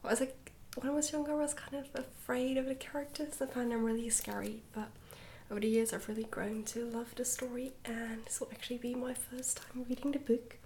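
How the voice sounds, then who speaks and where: A woman reading a storybook in a soft-spoken ASMR style, slipping often into a whisper, with a gentle, hushed delivery. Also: on the high side, steady tone, fairly quick, hardly any pauses, a little hiss or hum. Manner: gentle, hushed delivery with some stumbles over words. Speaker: ASMR reader, channel host.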